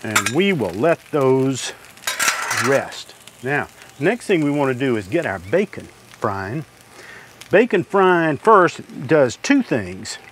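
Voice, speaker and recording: Casual older guy walking through a recipe outdoors, loud frying sizzle in the background. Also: medium pitch, sing-song, slow, very noisy. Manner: easygoing, homespun, talks while working at the griddle. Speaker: cooking show host. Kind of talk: step-by-step cooking demonstration. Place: outdoor covered deck by a griddle.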